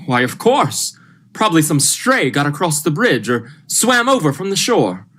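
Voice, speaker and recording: A woman's voice: low voice, very animated, moderate pace, a bit bright, slightly rough, heavily acted. A woman playing a steadying, practical doctor in a vintage radio thriller, speaking in an earnest, reassuring way. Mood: reassuring, reasoning.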